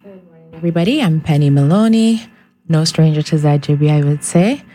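Low, mellow woman on radio. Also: fairly quick. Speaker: health official, radio guest. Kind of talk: self-introduction on live radio. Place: radio studio. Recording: faint background noise.

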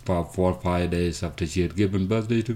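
A man with a South Sudanese accent telling his story as an interview guest, haltingly and with repeated words. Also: deep voice, mellow. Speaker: interview guest, foundation founder. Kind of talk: conversational storytelling.

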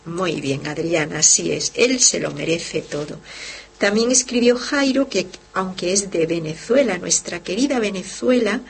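A woman's voice: fairly low pitch, animated, measured pace, creaky, breathy edge. A woman who is a religious sister, hosting a devotional program, explaining with fervor and speaking directly to her listeners. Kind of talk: devotional teaching and reading listener letters. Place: lives in Barcelona.

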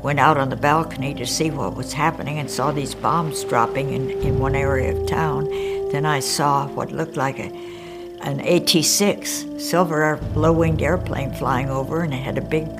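A woman's voice: rumbling low, fairly even intonation, normal speed, music in the background. An older woman from Hawaii, a former wartime pilot, telling her memories in an interview with vivid, fond storytelling. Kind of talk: documentary interview, reminiscing.

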